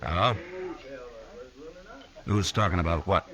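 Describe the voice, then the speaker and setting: Old radio western lawman, low hard-edged voice, weary, stoic, few words. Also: slow. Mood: subdued, resigned. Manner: terse, flat refusals. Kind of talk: 1950s western radio acting.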